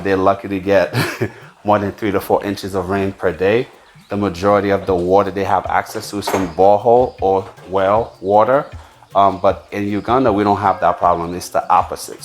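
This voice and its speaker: Low-voiced man explaining on a farm tour. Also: steady tone, moderate pace. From United States. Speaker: farm owner and video host.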